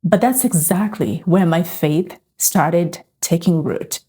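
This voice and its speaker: A middle-aged woman tells her story in a calm, earnest way. Her voice is low and mellow. She speaks slowly and reflectively. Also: slightly rough. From Uganda. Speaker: faith and lifestyle vlogger. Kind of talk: personal testimony to camera.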